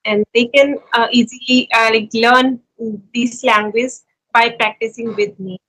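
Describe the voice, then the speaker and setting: Indian woman in her 20s, kinda high and bright voice, friendly and earnest, stops to think sometimes. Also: steady tone, poor audio. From India (Uttarakhand). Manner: friendly, earnest, pauses to find words. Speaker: YouTuber and conversation partner. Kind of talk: casual conversation practice.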